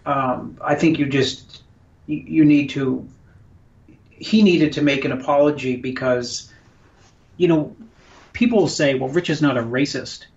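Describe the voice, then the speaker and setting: Gravelly man co-hosting, dull lo-fi sound. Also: fairly high pitch, unhurried, pauses often. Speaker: co-host. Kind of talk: casual radio show talk.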